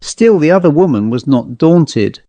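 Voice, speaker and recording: A man narrating an old literary ghost story in a measured, formal storytelling manner. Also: fairly high pitch, big pitch swings, dark tone, dry recording. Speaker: audiobook narrator.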